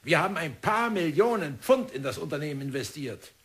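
An actor playing an impatient, scornful mining company boss in a German radio play, with a mocking, dismissive manner. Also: male voice, fairly high pitch, sing-song, fairly quick. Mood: amused scorn. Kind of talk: German radio drama acting.

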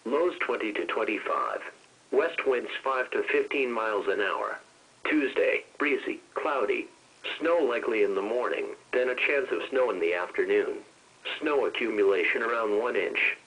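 A male weather radio announcer with a medium-pitched, slightly husky voice. He reads a scripted bulletin in measured, impersonal phrases that sound synthesized. The sound is that of a weather radio broadcast. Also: a bit bright, poor audio.

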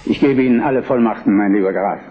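A man's voice: lowish voice, quick pace, close to an everyday voice. Actor as grave old Prussian king, slightly muffled. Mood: solemn. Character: elderly, solemn, anxious Prussian king. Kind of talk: German historical radio drama acting.

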